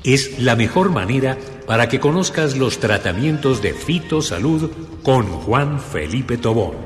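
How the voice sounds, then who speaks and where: A man voicing radio station promos in a slow, emphatic announcing manner. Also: medium pitch, sing-song, big-room sound, soft background music.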